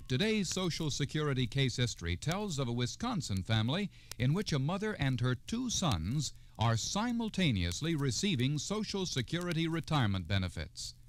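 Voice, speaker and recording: A man's voice: on the high side, very animated, moderate pace, hardly any pauses, slight background noise. A man announcing an old-time radio program, reading a scripted public service introduction. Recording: old radio broadcast, faint music.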